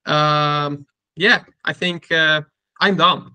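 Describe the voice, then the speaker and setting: A man with a Turkish accent hosting a casual technical interview, speaking haltingly and often repeating and rephrasing his words. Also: a little high, steady tone, sharp tone, clean tone, no echo. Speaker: interviewer and cybersecurity content creator.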